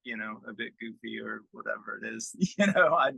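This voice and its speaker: A man's voice: fairly low pitch, animated, a little fast, vocal fry, bright, forceful tone, husky, no echo, low-quality recording. A middle-aged man, a musician, chatting casually and informally in an interview in a self-effacing way. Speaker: musician and record producer being interviewed.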